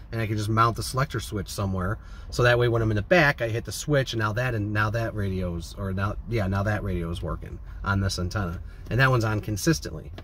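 A man's voice: lowish voice, fairly bright tone. A man, chatting casually to camera in a rambling, informal way. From American Midwest. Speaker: vlogger. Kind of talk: casual vlog chat to camera. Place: inside a parked van. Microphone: phone or camera at arm's length. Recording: clear background noise, vehicle ambience.

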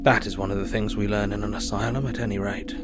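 A man acting an earnest asylum doctor who reads his diary aloud in an audio drama. The voice is low, solemn and measured, with clear music underneath. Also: flat delivery, lightly acted. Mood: sober. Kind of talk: first-person dramatic audio narration.

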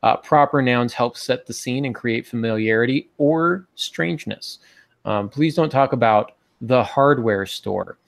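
Podcast host: American male, forties, creaky voice, informal teaching style. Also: mid-range voice, unhurried. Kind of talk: casual instructional podcast talk. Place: small home office, indoors. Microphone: earbud headset on video call.

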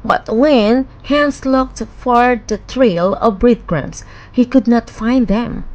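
A woman narrating a children's bedtime fairy tale in a measured, expressive storytelling manner. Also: fairly high pitch, lo-fi sound.

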